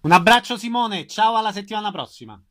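Higher-pitched male radio host. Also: quick pace, a bit bright. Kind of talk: radio show presenting.

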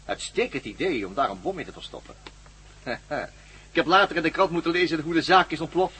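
A man's voice: on the high side, animated, a little fast, pushed and bright, slight background noise. An actor playing a commanding villain captain in a radio drama, mocking and sardonic, gloating over his plans to rule the world. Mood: smug gloating. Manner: mocking, sardonic, barks orders.

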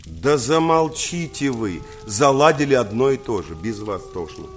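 An actor playing a young engineer, a troubled and emphatic master of a wolf, in an audio drama. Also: male voice, mid-range voice, sing-song, measured pace, soft background music. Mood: exasperated. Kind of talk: Russian audio drama acting. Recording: faint vehicle and ambience noise.

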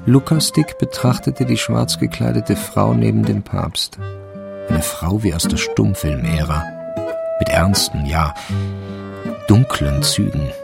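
An actor narrating a German radio crime drama as a wry storyteller, in a measured literary style. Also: male voice, fairly low pitch, vocal fry, music in the background, full character voice.

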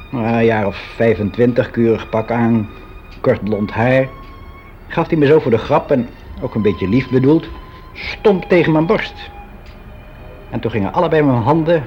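An actor playing a frank, wistful clerk of about sixty in a radio drama monologue, speaking in a musing, halting, confiding way. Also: male voice, mid-range voice, slight background noise, natural voice. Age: older, about sixty. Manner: musing, halting, candid, with drawn-out phrases. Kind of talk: confessional radio drama monologue, conversational and hesitant. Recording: dull lo-fi radio play recording.

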